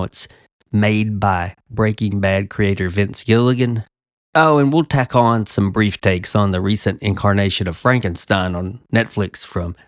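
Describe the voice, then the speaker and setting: A man with a lowish voice hosts a relaxed talk show about television. He sounds a little congested, speaking conversationally with small restarts. The recording is close and dry. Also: dry recording. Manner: conversational, slightly self-interrupting. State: stuffy from a cold. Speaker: podcast host. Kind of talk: casual chat.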